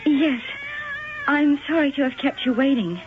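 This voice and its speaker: An actress playing a nervous young former dancer in a vintage radio drama, heard on a tinny, hissy old broadcast. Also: female voice, sits high, measured pace. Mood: polite. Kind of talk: 1970s radio drama acting.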